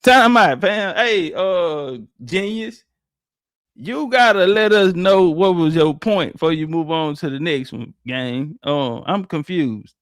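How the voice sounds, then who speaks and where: A man talks over a clip in a brash, slangy, mocking way, aiming his remarks at his viewers. His voice sits high for a man and sounds close and dry, with no room around it. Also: lively intonation, slow, fairly bright tone, dry recording. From Southern United States. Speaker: reaction commentator. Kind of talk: casual profane reaction commentary.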